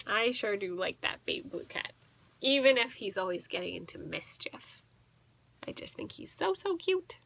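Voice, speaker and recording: A woman in her thirties reading a storybook aloud to children as a teacher, expressive and asking the listeners questions. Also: medium pitch, moderate pace, vocal fry, no echo. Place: small indoor room with bookshelves.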